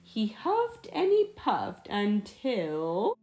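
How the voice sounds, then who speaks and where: Animated, slow-paced woman reading a storybook. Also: medium pitch, background noise. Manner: animated, dramatic build-ups. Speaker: storybook reader. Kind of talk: expressive children's read-aloud narration.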